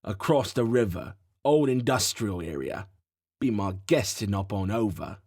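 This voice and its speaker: A man's voice: on the low side, lively intonation, a little slow, a little mellow, slightly rough, dry recording, studio quality, exaggerated voice. A man voicing a coarse, scornful male pub boss.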